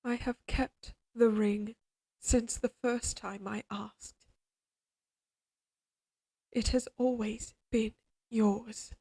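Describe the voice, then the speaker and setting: A woman voicing an obsessively devoted, quietly menacing evil queen in an audio drama, speaking in a formal, measured, imperious way. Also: a little high, little pitch movement, husky, exaggerated voice. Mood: insistent, possessive. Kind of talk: scripted dramatic audio roleplay.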